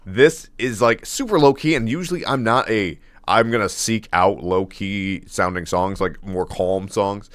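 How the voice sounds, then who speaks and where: Laughing man with a deep baritone. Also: a little slow, hardly any pauses, no room sound. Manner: laughs often, rambling with fillers and restarts. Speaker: music reaction host. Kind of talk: casual song reaction commentary.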